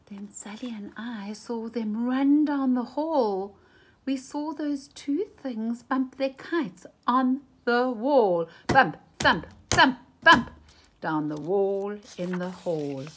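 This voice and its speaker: A woman's voice: a little high, slow. A woman reading a children's picture book aloud with dramatic, emphatic phrasing, as a storytime reader.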